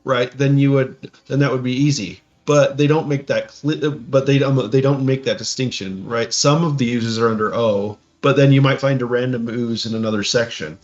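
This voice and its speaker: A man with a mid-pitched voice chats casually as a podcast co-host. He talks in halting bursts and keeps seeking agreement. The audio is a little dull and lo-fi. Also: fairly even intonation. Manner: halting, seeks agreement with tags. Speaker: podcast co-host giving reactions. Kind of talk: casual chat.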